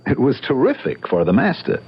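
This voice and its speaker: Husky, earnest young American man. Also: mid-range voice, wildly swooping pitch, brisk, full character voice. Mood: earnest explaining. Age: young adult. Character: earnest, stubborn young bachelor trapped by a bet. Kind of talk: old-time radio drama acting. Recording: muffled radio drama recording.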